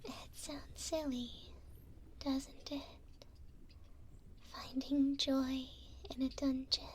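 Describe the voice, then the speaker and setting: A woman playing a gentle, curious elf prisoner in an ASMR roleplay, speaking softly and hesitantly, close to a binaural microphone. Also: high-pitched, little pitch movement, a little hiss or hum. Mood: tender, hopeful. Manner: slow, soft, hesitant with gentle pauses.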